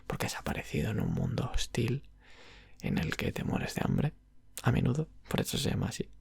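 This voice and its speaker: Breathy, creaky Spanish man half-whispering. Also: mid-range voice, huge pitch swings, a little mellow, no echo. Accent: Spanish (Spain). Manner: unhurried, rambling. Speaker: ASMR roleplayer as video game shop clerk. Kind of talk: ASMR roleplay, soft casual chat about games.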